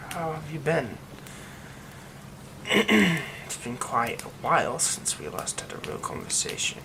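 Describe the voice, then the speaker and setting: ASMR drama: a man as a brooding young werewolf friend, hushed and slow-spoken, half-whispered lines, steady rain behind. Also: on the high side, creaky, background noise, heavily acted. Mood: hushed, evasive. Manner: hushed, often dropping to a whisper. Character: secretive young man hiding a werewolf curse. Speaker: ASMR roleplay voice actor.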